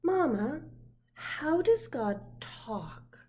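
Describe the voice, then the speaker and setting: A woman's voice: high-pitched, very animated, very slow, warm tone, pitched above the performer's own voice, full character voice. A woman voicing a curious, earnest little girl full of questions in a children's storybook. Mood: curious, wondering. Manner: inquisitive, childlike wonder. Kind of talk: children's picture book read aloud.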